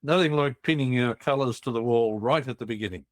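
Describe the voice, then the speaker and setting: A man hosting an interview with an upbeat, jovial manner. His voice sits at a middle pitch, and the recording is dry and close. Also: a little fast, no room sound. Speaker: podcast host. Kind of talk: casual interview chat.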